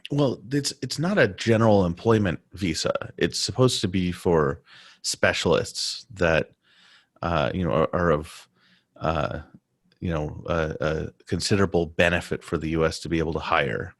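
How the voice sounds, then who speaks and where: Very deep-voiced man, halting and chatty. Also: no echo, clean studio sound. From United States. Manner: halting, many restarts and fillers. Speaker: podcast co-host. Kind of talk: casual conversation.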